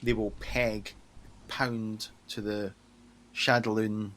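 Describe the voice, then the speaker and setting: A man's voice: fairly low pitch, level tone, slow, a bit bright, poor audio. A man in casual, irreverent banter as a panelist on a film podcast, swearing freely.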